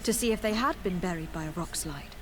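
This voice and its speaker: A woman's voice: lowish voice, fairly quick, fairly bright tone, slight background noise, natural voice. An actress playing a commanding, skeptical noblewoman in a video game, questioning people in a formal manner. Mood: probing. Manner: formal, interrogating. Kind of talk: video game dialogue acting.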